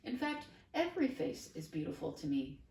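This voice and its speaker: A man's voice: fairly high pitch, huge pitch swings, moderate pace, vocal fry, darkish tone, exaggerated voice. A woman doing an effusive, wordy boy. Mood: grandiloquent. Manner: formal, elaborate speech. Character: effusive, wordy boy newly able to see. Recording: roomy, distant mic, faint hiss.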